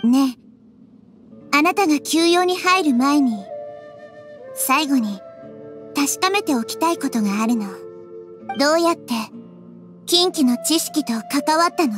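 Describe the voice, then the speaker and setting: Gentle child deity, high voice, composed, wise, earnest, game dialogue, light music. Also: female voice, lively intonation, measured pace, long pauses. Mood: calm, earnest. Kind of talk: anime-style game cutscene dialogue.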